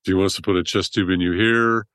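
Deep-voiced man telling his story. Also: fairly even intonation, quick pace, a bit bright, no echo, studio quality. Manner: rambling, restarts often. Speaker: trauma surgeon guest. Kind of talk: casual interview storytelling.

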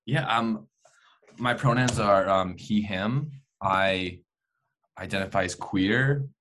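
Male podcast guest, Canadian accent, low register, enthusiastic and halting conversational delivery. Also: fairly bright tone, a little hiss or hum. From Alberta, Canada. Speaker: interview guest, actor. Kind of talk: casual podcast chat.

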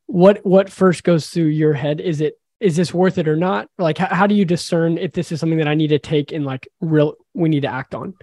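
A man's voice: fairly high pitch, brisk, hardly any pauses, dark tone, dry recording, clean studio sound. A man hosting an interview podcast, enthusiastic and effusive as he praises answers and asks questions. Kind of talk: casual interview talk.

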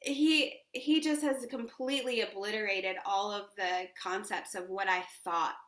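A woman's voice: medium pitch, fairly even intonation, a little slow, a bit bright. A woman, a yoga teacher, chatting as an interview guest, effusive and laughing often as she talks about spiritual ideas. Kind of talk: casual interview chat. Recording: roomy echo.

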